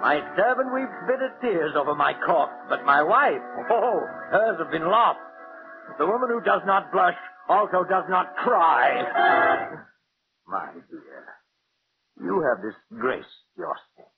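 Casting: sardonic wealthy husband, 1940s radio melodrama, lively intonation, old dull recording. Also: male voice, fairly high pitch, music in the background, full character voice. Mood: grandly mocking. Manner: theatrical, sardonic, deliberate. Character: bitter, taunting wealthy husband resigned to death. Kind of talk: 1940s radio drama acting.

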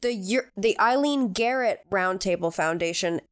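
Female co-host, clear smooth tone, quick and joking, recaps the story. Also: mid-range voice. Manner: quick, joking, summarizing back. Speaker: podcast co-host. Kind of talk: casual chat.

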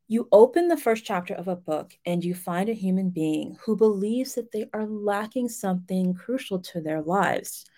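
A woman's voice: mid-range voice, mellow. Middle-aged female instructor, mid-range voice, informal and self-deprecating, walking viewers through a lesson. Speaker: writing instructor and romance novelist. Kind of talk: informal explanatory video lesson.

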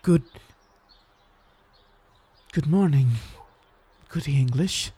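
An actor playing a self-important, stammering young sheriff in a colonial period audio drama. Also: male voice, a little high, extremely slow, dark tone, husky, full character voice. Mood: awkward. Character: indignant, officious, awkward young male colonial sheriff.

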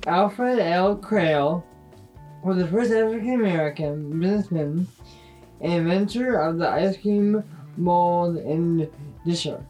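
A woman's voice: fairly low pitch, takes it slowly, mellow. A woman reading a scripted factual segment aloud, recorded a little away from the microphone. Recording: distant mic with faint music.

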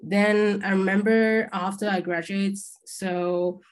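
A woman's voice: mid-range voice, fairly even intonation, slow pace. A young woman from China, an alumni panellist, sharing her experience in a chatty way with frequent "like" fillers on a video call. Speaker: alumni panellist, former international student. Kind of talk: panel discussion answer.

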